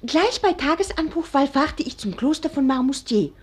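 An actress playing a naive, bossy young wife in a 1940s radio play, insistent and scolding. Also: female voice, high voice, lively intonation, normal speed, darkish tone. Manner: insistent, commanding.

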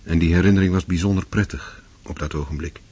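A man's voice: sits low, fairly even intonation, quick pace, dark tone, slight background noise. A man narrating a radio drama in a scripted, literary style.

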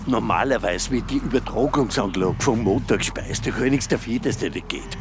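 A man's voice: lowish voice, fairly quick, lightly acted. Practical young technician man acting, noisy surroundings. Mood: defensive, practical. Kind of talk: radio drama. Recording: noisy outdoor ambience.

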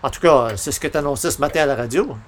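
An actor playing a good-natured, slightly frustrated columnist in a radio comedy, speaking colloquial, hesitant French with a Canadian accent. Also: male voice, mid-range voice, fast, natural voice. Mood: insistent, hopeful. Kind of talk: old-time radio comedy acting.

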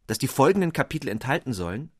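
Expressive male storyteller with a husky voice. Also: medium pitch, fast, no room sound, clean studio sound. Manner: expressive storytelling, addressing the reader. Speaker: narrator. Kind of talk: scripted literary narration.

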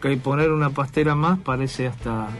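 A man's voice: medium pitch, moderate pace, soft background music. A man hosting a radio show, asking questions with many hesitations and fillers. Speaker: radio host. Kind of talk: radio interview.

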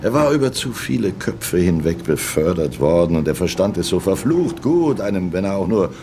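A grizzled old first officer in a radio play, his voice low. He recalls things grudgingly and with salty resentment, over faint background noise. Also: very animated, moderate pace, mellow. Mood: resentful. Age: older. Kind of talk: dramatic first-person recollection in a radio play.

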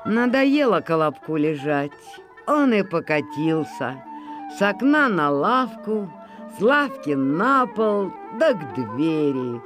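A narrator telling a folk tale in expressive storybook style. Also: mid-range voice, slow pace. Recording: music playing behind.

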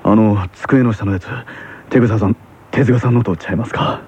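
An actor playing a casual, teasing teenage tennis rival with a Kansai accent and a husky voice, in a radio drama. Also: male voice, on the low side, steady tone, normal speed, a little mellow, a little hiss or hum, close to an everyday voice. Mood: flustered. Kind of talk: scripted comic radio drama.

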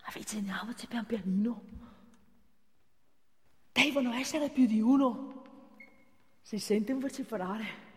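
A woman's voice: fairly high pitch, unhurried, pauses often, in a large hall, lightly acted. An actress playing a fussy, officious, pious female police officer in a comic theatre monologue. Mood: hushed, solemn. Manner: officious, formal wording, fussy.

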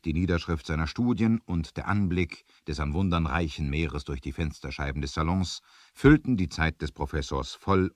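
A man's voice: deep voice, no echo, studio quality. A man narrating an adventure radio play from a script in a dramatic storytelling manner.

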